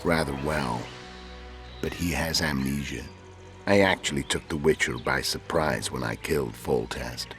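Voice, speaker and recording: An actor playing a calmly confident, menacing assassin villain in a fantasy video game. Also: male voice, extremely low pitch, unhurried, soft background music. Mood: calm menace. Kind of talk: dramatic video game acting.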